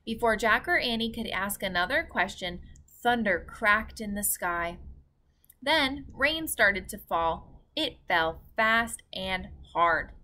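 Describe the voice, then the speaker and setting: Bright-voiced, unhurried woman reading a storybook. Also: mid-range voice, expressive. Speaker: teacher reading aloud. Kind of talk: children's chapter book read-aloud. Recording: faint background noise.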